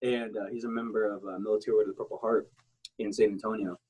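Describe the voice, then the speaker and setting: A man's voice: lowish voice, flat delivery, quick pace, darkish tone, husky, low-quality recording. A man in his thirties from South Texas, a military veteran talking as an interview guest, rambling and blunt, with many restarts and fillers. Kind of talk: casual interview talk.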